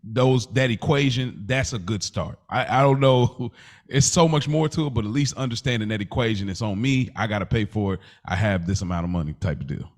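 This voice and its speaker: A man's voice: lowish voice, brisk. A man hosting a casual podcast, posing reflective questions in slangy, conversational language.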